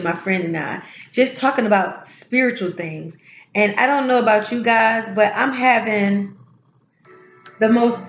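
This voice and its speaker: A woman hosting a tarot and spiritual channel, her voice a little hoarse, talking slowly and encouragingly from her home healing space. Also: medium pitch, reverberant, dull audio. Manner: soft, unhurried, encouraging, with drawn-out pauses. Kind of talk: casual spiritual encouragement talk.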